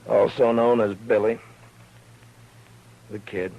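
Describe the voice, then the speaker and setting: An actor playing a weary, terse Old West marshal with an authoritative manner in a 1950s radio western. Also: male voice, on the low side, steady tone, slow pace, muffled recording. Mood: brisk, official. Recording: noisy 1950s radio recording.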